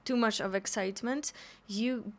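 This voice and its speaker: A woman's voice: medium pitch, fairly even intonation, moderate pace. A woman from Poland, a guest on a podcast, speaking in a reflective, chatty way with many fillers. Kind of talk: casual conversation.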